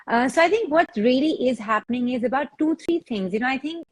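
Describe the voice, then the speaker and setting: A woman's voice: high voice, lively intonation, fairly quick, rough recording. A woman, explaining as a fitness trainer on a discussion panel, repeating words as she thinks aloud. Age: 40s.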